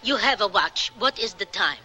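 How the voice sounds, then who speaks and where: An actress playing an older Polish immigrant cleaning woman with a Polish accent, measured and gently questioning, in a radio drama. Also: mid-range voice, animated, breathy edge. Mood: matter-of-fact. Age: 50s to 60s.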